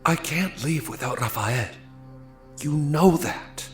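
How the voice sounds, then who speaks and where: An actor playing a gentle, loyal, hunted poet in a wartime audio drama, soft and measured in delivery. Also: male voice, on the high side, lively intonation. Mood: reluctant. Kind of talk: dramatized audio story acting. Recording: roomy echo over background music.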